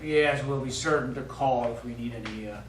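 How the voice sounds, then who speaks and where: A man's voice: medium pitch, fairly quick, far from the mic, natural voice. A man playing a weary, wealthy husband with a dry, hesitant manner in a live stage play. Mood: wryly indulgent. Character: wry, weary, wealthy husband in poor health. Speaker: stage actor. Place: small theatre studio, recorded from the audience. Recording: echoey stage recording from afar, faint noise.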